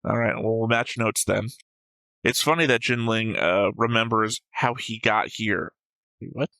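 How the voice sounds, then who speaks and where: A man's voice: lowish voice, measured pace, vocal fry, bright, forceful tone, no room sound. A man co-hosting a casual discussion show, going through notes in a dry, analytical way. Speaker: reaction show co-host.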